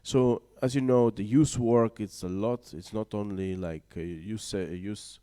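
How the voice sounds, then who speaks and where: A man's voice: fairly low pitch, fairly even intonation, measured pace, dark tone. A man moderating a youth conference panel, speaking in hesitant, non-native English full of fillers. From Baltic region. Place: conference room.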